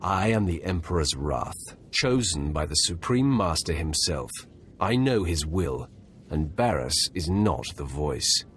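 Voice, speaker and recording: An actor playing a confident, imposing dark warrior in a video game, speaking in a commanding, cold and defiant manner. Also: male voice, low voice, animated, a little slow, slight background noise. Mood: proud, assured. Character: confident, imposing young Sith warrior, the emperor's enforcer. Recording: music under the voice.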